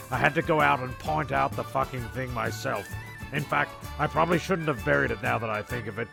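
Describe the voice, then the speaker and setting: A man's voice: mid-range voice, gravelly. A man performing rambling comic storytelling and sketch dialogue in a dry, exasperated way. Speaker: narrator and writer of a comedy show.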